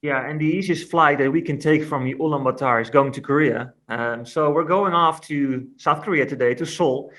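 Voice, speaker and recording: A man's voice: fairly high pitch, moderate pace, few pauses, a bit bright. A man speaking casually as the co-host of an interview podcast.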